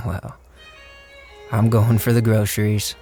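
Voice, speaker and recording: A low-pitched handyman in a radio drama, easygoing and a little shady. He tells things in a folksy, colloquial, rambling way, with music underneath. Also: little pitch movement, slow, warm tone. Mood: wary, bantering. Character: easygoing handyman and companion telling his shady story. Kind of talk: radio drama first-person narration and dialogue.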